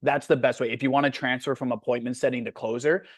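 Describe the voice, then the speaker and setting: A blunt man with a Canadian accent, coaching on a casual video call. His voice sits at a middling pitch and sounds dry and close to the mic, with no room sound. He talks frankly and loosely, full of "like" and "uh". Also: steady tone, quick pace, dry recording. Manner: blunt, frank, with many fillers. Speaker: sales coach hosting a Q&A.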